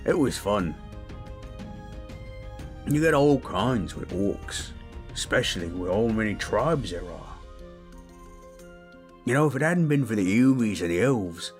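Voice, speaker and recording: A man voicing a big, gentle, chatty orc in a soothing roleplay audio, with a folksy, rambling, rustic way of talking. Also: fairly low pitch. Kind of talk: soothing character roleplay audio addressed to listener.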